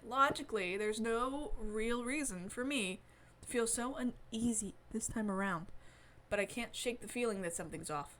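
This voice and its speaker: A woman's voice: medium pitch, a little hiss or hum. A woman reading a visual novel aloud expressively, adding playful, wry asides in casual commentary as a streamer. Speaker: let's-play streamer.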